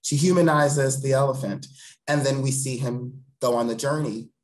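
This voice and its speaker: A man's voice: mid-range voice, steady tone, normal speed, rough recording. Earnest, eloquent man in an interview. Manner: earnest, eloquent, emphatic repeated phrasing. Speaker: theatre director, interview guest. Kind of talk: thoughtful interview talk. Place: home room on a video call. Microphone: video call.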